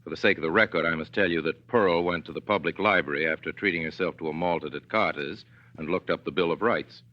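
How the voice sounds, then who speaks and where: A man giving measured, folksy scripted narration for a 1940s radio drama. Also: sits low. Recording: dull 1940s radio broadcast.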